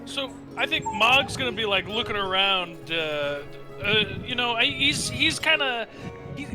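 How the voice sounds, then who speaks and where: A man with a high-pitched voice chats as a player in a tabletop game. He describes his character's moves casually and haltingly, thinking out loud, while music plays clearly behind him. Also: animated, rough recording. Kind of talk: casual improvised role-play chat. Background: background music.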